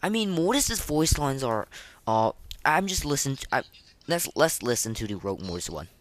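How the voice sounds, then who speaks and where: A man hosting a casual reaction podcast, rating things in an informal, rambling way with a lot of halting fillers. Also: mid-range voice, sing-song. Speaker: podcast host. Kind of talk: casual reaction commentary, rating game voice lines.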